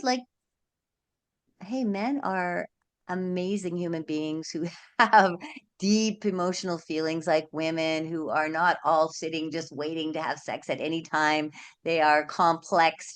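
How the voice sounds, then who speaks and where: A middle-aged woman hosting an interview, talking in an earnest, reflective, halting way. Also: low-pitched. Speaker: host, sexuality coach. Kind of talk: casual interview chat.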